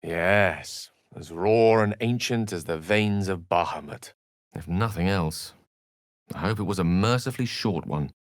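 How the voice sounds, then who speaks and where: A learned, self-possessed wizard from a fantasy video game. His voice sits a little low in a dry, studio-clean space. He shapes ornate phrases with care, theatrical flair and dry wit. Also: unhurried, dry recording. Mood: measured, wry. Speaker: voice actor in a fantasy role-playing game. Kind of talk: theatrical game dialogue in short separate lines.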